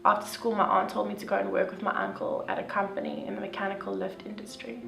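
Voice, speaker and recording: A woman's voice: medium pitch, sing-song, fast, creaky. A young woman reading a story aloud, then reacting casually with lots of "like". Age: young adult. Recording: roomy, distant, with faint music.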